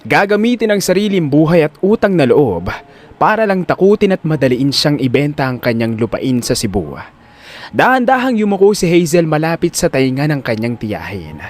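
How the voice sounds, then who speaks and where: A man's voice: a little high, big pitch swings, normal speed. A man narrating an audio drama in a scripted, dramatic storytelling style.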